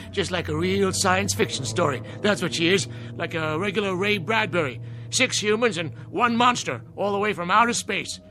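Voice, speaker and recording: A mischievous old codger in a radio play. The voice is high and sharp, with a folksy, mocking delivery and faint music underneath. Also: male voice, normal speed. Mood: gleeful mocking. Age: older. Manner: folksy, mocking, laughs often. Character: gleeful, cackling old male troublemaker heckling everyone.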